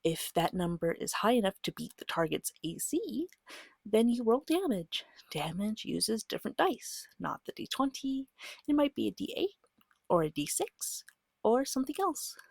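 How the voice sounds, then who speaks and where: Soft breathy woman whispering ASMR guidance. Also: a little high, big pitch swings, no echo. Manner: gentle, patient, reassuring, short measured phrases. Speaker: guide teaching a beginner tabletop game. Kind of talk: soft-spoken ASMR, slow guided explanation.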